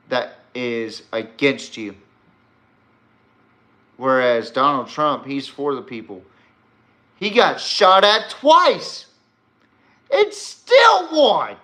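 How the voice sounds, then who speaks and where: Guy doing casual podcast rants, slow talker but punchy bright voice, blunt and kinda rambly. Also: mid-range voice. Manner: rambling, blunt, profane, emphatic. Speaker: sports podcast host. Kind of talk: casual opinionated sports and politics commentary.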